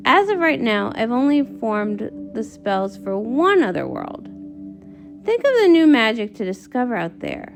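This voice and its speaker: A woman's voice: on the high side, very animated, a little slow, vocal fry, full character voice. A woman voicing an elderly, scholarly male wizard for a comic read-along, muttering in old-fashioned turns of phrase over music. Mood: eager. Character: elderly male wizard, scholarly, eager, absent-minded, stubborn. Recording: music under the voice.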